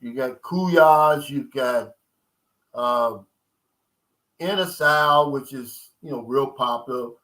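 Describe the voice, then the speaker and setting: Bright-voiced man chatting with viewers. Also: mid-range voice, slow pace, pauses often, low-quality recording. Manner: loose, streetwise talk, chatting with viewers. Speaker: vlogger reading live chat. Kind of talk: casual live-stream chat.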